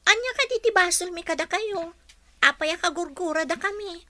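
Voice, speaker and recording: A woman's voice: very high-pitched, fairly quick, sharp tone, dry recording. A woman playing an aggrieved young woman who speaks up emphatically in a radio drama. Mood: hurt, indignant. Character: aggrieved young woman from a poor family, protesting.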